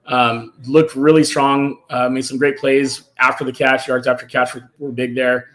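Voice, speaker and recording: A man hosting a casual fan live stream, excited and a little hoarse from cheering, reading out viewer comments. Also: medium pitch, normal speed, rough recording. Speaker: fan show host.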